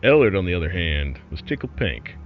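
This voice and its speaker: A man plays a folksy renegade broadcaster spinning a horror tale. The low voice swings expressively, sardonic and conspiratorial in tone. It sits over music in a slightly muffled recording. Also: sing-song, fairly quick. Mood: wry, conspiratorial. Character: wry, folksy male underground broadcaster telling eerie tales. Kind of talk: scripted horror storytelling as a broadcast.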